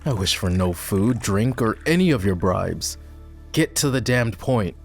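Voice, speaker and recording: A man acting a low-voiced, bitter knight. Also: lively intonation, unhurried, creaky, faint music behind. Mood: sardonic, impatient. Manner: scornful, dramatic, sardonic. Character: proud, bitter lowborn young knight, defiant war hero. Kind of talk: fantasy roleplay ASMR voice acting.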